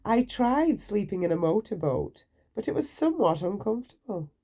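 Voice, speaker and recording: A woman's voice: medium pitch, sing-song, moderate pace, dark tone. A woman voicing a whimsical, nonsensical man in a children's storybook, blithe and quaintly polite. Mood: matter-of-fact. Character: whimsical, nonsensical man, breezy and matter-of-fact about absurdity.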